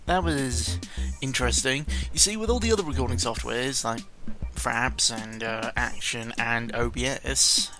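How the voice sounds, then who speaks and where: A man's voice: medium pitch, a little slow, hardly any pauses. A man giving a casual, rambling, sarcastic and sweary chat as a YouTuber, often stumbling over his words, speaking into a close microphone. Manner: self-deprecating, sarcastic, sweary. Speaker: YouTuber recording an audio log. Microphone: close microphone with pop filter. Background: background music.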